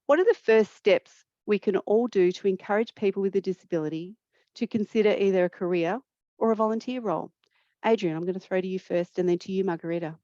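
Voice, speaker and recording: A woman facilitating an online panel discussion, speaking in a measured way and reading a prepared welcome. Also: medium pitch, warm tone, dry recording, highs cut off. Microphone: video call.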